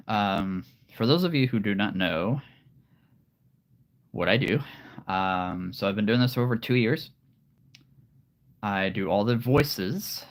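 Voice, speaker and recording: A man with a very deep voice chatting casually on a live stream, relaxed and rambling. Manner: relaxed, rambling, laughs often. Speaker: live streamer and hobby voice actor.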